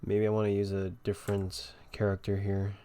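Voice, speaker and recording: A man giving casual gameplay commentary as a streamer, halting and laid-back as he thinks aloud. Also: fairly low pitch, monotone, warm tone, smooth. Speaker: game streamer.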